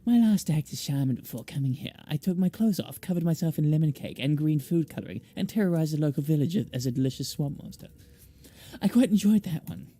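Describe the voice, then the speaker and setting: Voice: medium pitch, expressive, fairly quick, mellow, lightly acted. A game player voicing a macabre, theatrical woman, a former shaman who tells grandiose, droll tales. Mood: droll, proud.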